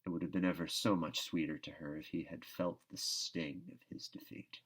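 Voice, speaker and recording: A man with a fairly low voice reads a storybook aloud. His narration is expressive and theatrical, leaning on key words with emphatic phrasing. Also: moderate pace, slightly rough. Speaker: audiobook reader.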